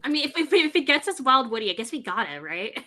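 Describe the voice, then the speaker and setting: A woman's voice: on the high side, sing-song, fast, bright, forceful tone, slight background noise. A woman chatting casually as a panelist on a group podcast.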